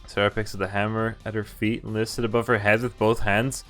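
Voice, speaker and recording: Low voiced guy doing a chill lets play, reads game text out loud and comments, amused, faint music under him. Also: level tone, brisk. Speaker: game streamer. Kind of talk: casual let's play, reading visual novel text aloud with commentary. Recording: background music behind the voice.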